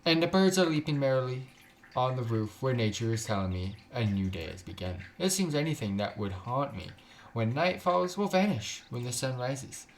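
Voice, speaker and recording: A man's voice: medium pitch, animated, normal speed, gravelly, a little hiss or hum, low-quality recording. A man live-streaming a game, chatting casually in a joking, sweary, slangy way and reading text aloud with stumbles.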